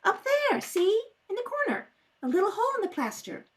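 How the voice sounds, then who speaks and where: A woman's voice: very high voice, big pitch swings, brisk. A woman playing an anxious, inquisitive and affectionate wife in an amateur radio mystery read-through. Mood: curious, brisk. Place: at a kitchen table at home.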